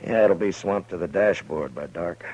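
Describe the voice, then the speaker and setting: Man acting seasoned western marshal, American accent, low pitch, laconic, dry, steady, 1950s radio drama, vintage recording. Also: moderate pace, highs cut off, slight background noise, natural voice. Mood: wary.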